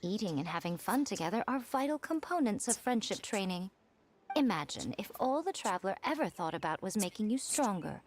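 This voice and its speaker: A woman's voice: medium pitch, a little hiss or hum, close to an everyday voice. An actress playing a poised, worldly and playfully teasing woman with genteel phrasing in an anime fantasy game.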